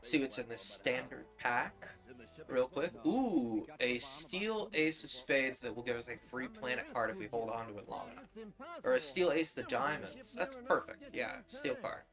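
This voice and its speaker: A man's voice: medium pitch, big pitch swings, normal speed. A man streaming a game, thinking aloud and explaining his choices in casual commentary. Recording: music and radio drama behind.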